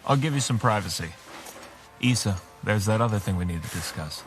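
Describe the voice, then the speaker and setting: A man acting a calm, grave heroic leader in an audio drama. His voice is on the low side, measured and solemn, with prominent music behind it. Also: natural voice. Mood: grave, reassuring. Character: calm, grave, steadfast male hero leader.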